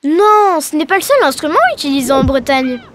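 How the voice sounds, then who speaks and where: A woman's voice: sits high, expressive, brisk, noisy background. A woman voicing a cheerful young girl who explains and encourages in an educational cartoon. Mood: bright, explanatory. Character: cheerful young Breton girl teaching her alien friend. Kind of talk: scripted educational cartoon dialogue. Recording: music behind the voice.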